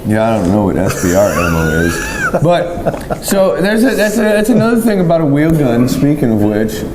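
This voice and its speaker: A man's voice: fairly high pitch, sing-song, normal speed. A man co-hosting a gun range talk show, talking in a rambling, opinionated way full of ums and restarts. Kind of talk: casual chat. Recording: echoey room with faint noise.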